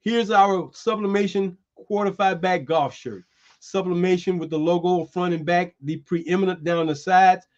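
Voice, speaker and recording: A man hosting a streamed show, chatting loosely and calling people "man" as he pitches merchandise. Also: normal speed, highs cut off. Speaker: host. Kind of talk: casual chat and merchandise pitch. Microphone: streaming setup.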